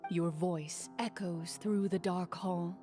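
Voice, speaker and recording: A woman voicing a poised game master who narrates a courtroom scene in a measured, formal, dryly stern way, in a video game. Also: fairly low pitch, steady tone, mellow. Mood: narrating. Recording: game audio with clear music underneath.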